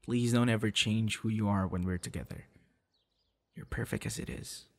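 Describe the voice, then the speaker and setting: A young man playing a tender, hesitant suitor in an intimate ASMR boyfriend roleplay, speaking softly and haltingly. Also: on the low side, fairly even intonation, creaky. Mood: gentle, reassuring. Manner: soft, hesitant, with sighs and chuckles. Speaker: audio roleplay voice actor.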